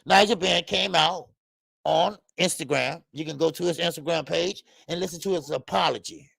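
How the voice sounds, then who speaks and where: A man in his fifties hosting a live boxing talk stream, loud and profane, rambling and greeting viewers by name. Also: on the high side, moderate pace, vocal fry, no room sound. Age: 50s. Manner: frequent laughs and stretches of filler. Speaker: boxing show host. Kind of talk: live-stream commentary chat.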